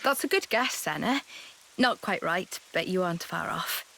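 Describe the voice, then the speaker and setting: An actress voicing a wise, solemn woman leader in a video game cutscene, explaining things in a measured, grave way. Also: fairly low pitch, animated, creaky, bright, forceful tone, slight background noise, heavily acted, rough recording. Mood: patient, serious.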